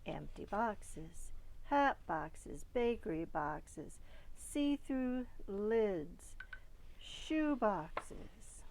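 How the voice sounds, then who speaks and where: A woman reading a children's picture book aloud in a deliberate way, pausing between short phrases. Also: medium pitch, a little mellow, a little hiss or hum, low-quality recording. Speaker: storybook reader.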